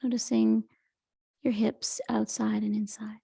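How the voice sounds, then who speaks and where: Woman meditation teacher: highish, very creaky voice, soft and breathy, gently soothing and unhurried. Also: flat delivery, dry recording. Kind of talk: guided meditation, Yoga Nidra.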